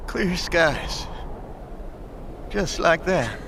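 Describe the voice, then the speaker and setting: A gruff rural American truck owner, hot-tempered and folksy in an audio drama. His voice sits high for a man and swings widely, over clear vehicle noise. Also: lively intonation, drawn-out words, heavily acted. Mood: amazed.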